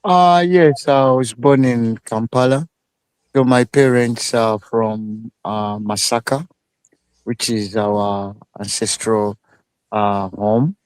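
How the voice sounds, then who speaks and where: A man from Uganda who speaks as a guest in a casual live interview. He talks slowly and haltingly, with many fillers. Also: mid-range voice, steady tone, a little mellow, clear, resonant tone, no room sound, poor audio.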